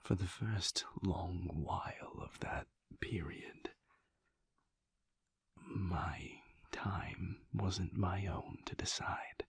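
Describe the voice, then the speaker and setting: A man playing a mysterious, brooding vampire lover in an intimate audio roleplay, tender and hesitant, with halting, formal speech in a husky, breathy whisper. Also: low voice, fairly even intonation, no room sound, studio quality, heavily acted. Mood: somber, confessional. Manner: halting, measured, formal wording.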